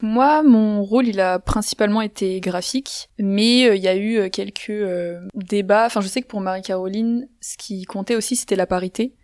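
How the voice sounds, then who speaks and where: A woman's voice: mid-range voice, moderate pace, hardly any pauses, darkish tone, no echo. Brief: woman guest, illustrator, hesitant casual speech, dry close sound. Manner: hesitant, with fillers. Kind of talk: casual interview chat.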